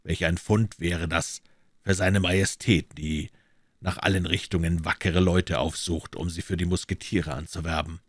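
A man voicing a cold, scornful middle-aged nobleman who speaks quietly and with contempt. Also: deep voice, flat delivery, moderate pace, no echo, studio quality. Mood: cool scorn.

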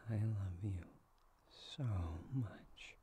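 A man playing a tender, playful young boyfriend in an intimate ASMR roleplay, speaking in a soft, breathy, hushed voice that often slips into whispers. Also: lowish voice, drawn-out words, a little hiss or hum. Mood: tender, devoted.

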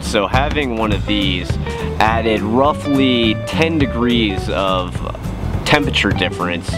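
A young man outdoors on a deck, casually talking to camera about hiking gear with a plain, relaxed manner and frequent "uh"s. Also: on the low side. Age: young adult. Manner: relaxed, plain-spoken, many "uh"s. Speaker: hiking vlogger.

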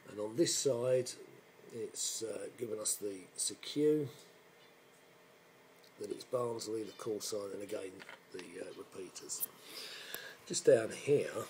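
A man gives an informal software tutorial, explaining step by step in a halting way. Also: lowish voice. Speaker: software demonstrator. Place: indoor room, filming a computer screen. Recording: noisy amateur camera microphone recording.

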